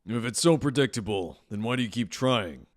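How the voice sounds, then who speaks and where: An actor playing a stern, blunt academy admissions officer in an audio drama, measured, dry and authoritative. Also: male voice, medium pitch, animated, close to an everyday voice. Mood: dry, official.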